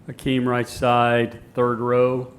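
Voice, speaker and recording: Male moderator, mid-pitched, brisk and formal, calls on reporters and wraps up. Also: monotone. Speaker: press conference moderator. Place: media day press room.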